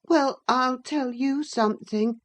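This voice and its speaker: A woman's voice: high-pitched, slow pace, pitched above the performer's own voice. A woman voicing a sickly, spiteful, self-pitying boy with a whining, pettish manner in a storybook reading. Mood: taunting, spiteful. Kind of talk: dramatised classic novel reading.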